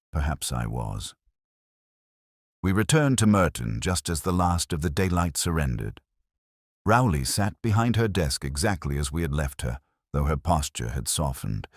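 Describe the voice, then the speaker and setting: A man reading a scripted first-person detective story in a solemn, measured, literary way. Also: very deep voice, dry recording, clean studio sound. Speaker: narrator.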